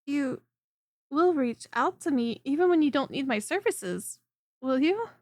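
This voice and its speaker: A woman playing a friendly, clingy female lamia chiropractor in an ASMR roleplay, speaking in a soft, coaxing and teasing way directly to the listener. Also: sits high, lively intonation, a little slow, no room sound, studio quality. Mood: caring, fussing. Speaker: voice performer.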